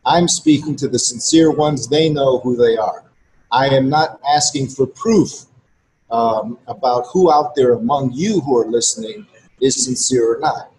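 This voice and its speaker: A man's voice: medium pitch, unhurried, darkish tone, a little hiss or hum, poor audio. Blunt, earnest Greek-native man lecturing. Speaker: regular co-host. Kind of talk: casual conversation to listeners.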